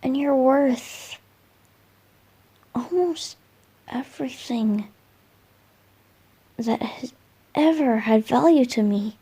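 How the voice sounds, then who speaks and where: A woman voicing a gentle, lovestruck boyish male wind spirit in a romantic roleplay ASMR, speaking tenderly with soft murmurs and hesitant pauses. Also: high-pitched, breathy edge, full character voice. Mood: tender, adoring.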